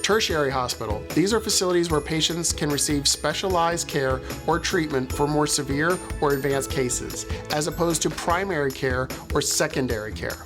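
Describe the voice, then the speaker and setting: A man's voice: on the high side, normal speed, few pauses, over music. A man hosting a podcast, reading a scripted introduction and then interviewing a guest over a video call. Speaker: podcast host.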